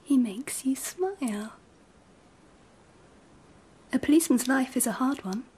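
A soft royal empress lady, really breathy, kinda high, gentle and teasing, radio drama. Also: animated, measured pace, full character voice. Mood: teasing, probing. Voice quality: soft, breathy, often near whisper. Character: gracious, teasing, wistful Empress of old Japan. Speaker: actor.

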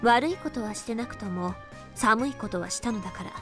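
A woman's voice: fairly high pitch, racing, soft background music, lightly acted. An actress voicing a cool, composed older teenage schoolgirl in a Japanese visual novel.

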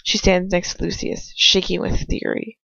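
Gravelly, casual amateur story reader. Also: medium pitch, level tone, normal speed, sharp tone, no echo, highs cut off. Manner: casual, breaks off to comment and stumble over words. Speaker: amateur fan fiction reader. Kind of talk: reading a story aloud, informal asides.

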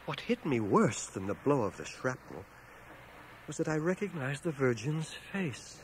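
An actor playing a war veteran who soberly recounts his battle memories in measured, articulate, literary storytelling for a radio drama. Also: mid-range voice, sing-song. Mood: awed. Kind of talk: dramatized first-person narration, radio drama. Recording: noisy cassette of 1980 radio drama.

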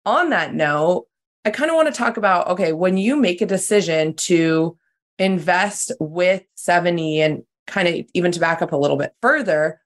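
A woman co-hosting a podcast in a casual, upbeat way, her voice a little worn. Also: lowish voice. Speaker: podcast co-host.

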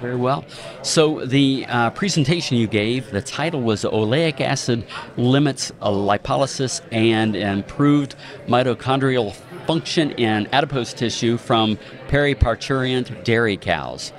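A man's voice: mid-range voice, a little slow. A man hosting a podcast, introducing a guest and reading her presentation title. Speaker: podcast host. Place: conference hall booth. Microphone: table mic on stand, wearing headphones.

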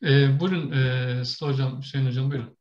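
A man's voice: medium pitch, steady tone, normal speed, a little mellow. A man moderating an online language class, handing out speaking turns in Turkish. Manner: brief, directing turns. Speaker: teacher and moderator. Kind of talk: casual moderation. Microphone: video call. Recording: roomy lo-fi call audio.

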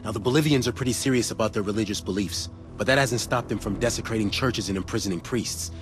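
An actor playing a wry, earnest intelligence contact in a video game, briefing a soldier in a conspiratorial, colloquial way. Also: male voice, fairly low pitch, quick pace, over music, natural voice. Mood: keen. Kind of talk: video game cutscene dialogue.

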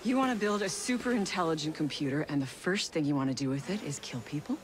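A woman's voice: low voice, expressive, quick pace, slight background noise. A woman playing a skeptical, principled engineer in a video game, speaking in pointed challenges. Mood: indignant. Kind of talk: video game cutscene acting.

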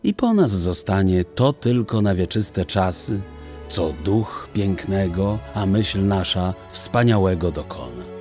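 Slow, expressive male storyteller. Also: deep voice, soft background music. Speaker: audiobook narrator. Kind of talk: expressive literary narration of a children's fantasy tale.

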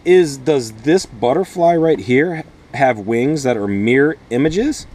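A man's voice: mid-range voice, animated, slow pace, a little mellow, slight background noise. A man in his thirties, a schoolteacher, speaking informally to children and parents and explaining things step by step in simple words. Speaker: schoolteacher host. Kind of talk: read-aloud and informal lesson addressed to children and parents. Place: inside a small plastic-sheeted wooden greenhouse.

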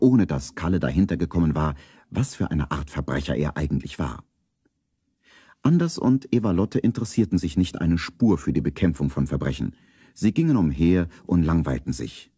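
A man's voice: rumbling low, mellow, husky, dry recording, clean studio sound. A man narrating a scripted children's radio play as a storyteller.

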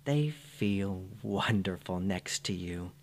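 A man with a fairly low voice delivers a scripted guided meditation. His pace is extremely slow, with soothing, gentle phrasing. A faint background hum sits under the recording. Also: animated.

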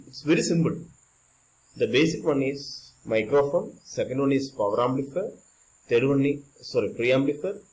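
A man's voice: medium pitch, a little mellow, poor audio. A man with an Indian accent giving an informal explanatory lecture as an electronics teacher, repeating and rephrasing points in simple non-native English. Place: indoors, screen-recorded voice-over.

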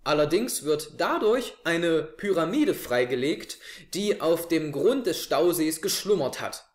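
A man's voice: fairly high pitch, expressive, unhurried. A man in his twenties presenting a review show to camera from a living room. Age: 20s. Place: small living room, indoors on a couch. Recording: echoey room.

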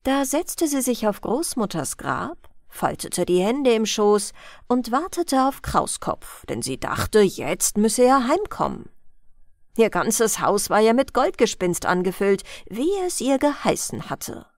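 A woman narrating a fairy tale as a bedtime audiobook, reading gently and expressively into a close mic. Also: on the high side, big pitch swings, slow, no echo.

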